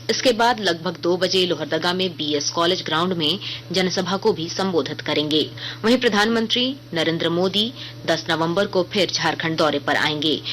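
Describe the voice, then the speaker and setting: A woman with an Indian accent reads a scripted radio news bulletin in a formal, measured way. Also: on the low side, a bit bright, slight background noise, poor audio.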